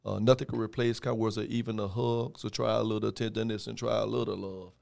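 A man in his thirties with a raspy voice, a rapper talking slowly with many fillers as a guest in a podcast studio. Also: fairly low pitch, level tone, a little mellow. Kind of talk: casual interview chat. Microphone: headphones and studio mic on boom.

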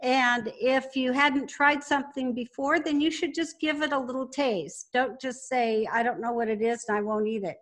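A woman's voice: high voice, fairly even intonation, normal speed, few pauses. An older woman speaking conversationally as an interview guest, recalling and explaining. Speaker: podcast guest, business founder.

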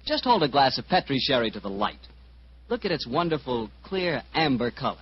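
A man's voice: medium pitch, sing-song, fairly quick, breathy edge. A man with an American accent announcing in polished, salesmanlike 1940s radio style. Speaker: announcer and sponsor pitchman. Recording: muffled, hissy old radio broadcast.

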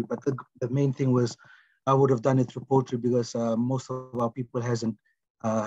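A man in his forties with a Fijian accent, talking casually and haltingly as he reflects on his work as a poet in an interview. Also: medium pitch, darkish tone. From Fiji. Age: 40s. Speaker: guest, poet. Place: small room indoors, on a video call. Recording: dull, roomy video-call audio.

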